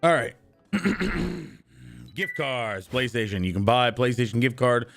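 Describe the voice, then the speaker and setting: Man, husky hoarse voice, rambling streamer, lively swooping pitch, faint music behind. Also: slow pace. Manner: rambling, loud shouted greetings to viewers. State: hoarse, worn-out voice. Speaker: live streamer. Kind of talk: casual live-stream chat with viewers.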